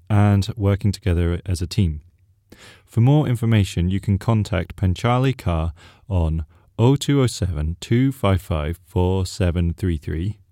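Low-pitched man, mellow and close. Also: no room sound. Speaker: community radio presenter. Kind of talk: scripted announcements of local events.

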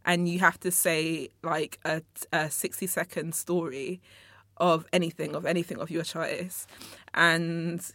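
A woman's voice: low voice, little pitch movement, a little slow, a bit bright, dry recording. A young woman from Congo, raised in England, hosting her own podcast in a studio, chatting in a rambling way straight to her listeners. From born in Congo, raised in England. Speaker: podcast host. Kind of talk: casual solo podcast monologue.

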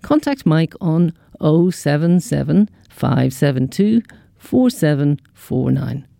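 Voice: medium pitch, big pitch swings, slow pace, vocal fry, mellow, no room sound, clean studio sound. A community radio presenter reading a scripted events listing.

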